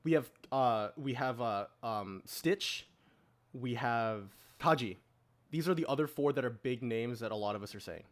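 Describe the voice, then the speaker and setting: A man's voice: medium pitch, expressive, normal speed. A man casually hosting a podcast panel, organizing the discussion and keeping the group on track. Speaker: podcast host moderating a panel.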